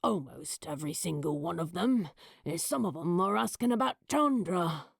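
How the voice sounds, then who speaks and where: Woman putting on a gravelly, grumbling man. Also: lively intonation, normal speed, dry recording, studio quality, heavily acted. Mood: indignant grumbling. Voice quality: gravelly, low. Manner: grumbling, keeping voice down. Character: gruff, grumbling man, indignant and conspiratorial.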